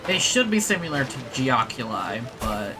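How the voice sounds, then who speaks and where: A woman's voice: rumbling low, sing-song, moderate pace. A woman streamer giving casual playthrough commentary, muttering to herself and to the game, with game music behind her. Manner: talks to self and to the game. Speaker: gaming streamer.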